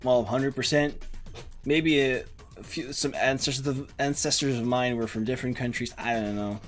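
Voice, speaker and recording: A man's voice: medium pitch, normal speed, faint music behind. A young man from the Netherlands with a Dutch accent, streaming a game and chatting casually, rambling with many fillers as he thinks aloud. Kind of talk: casual let's-play chat, reading chat and game text aloud.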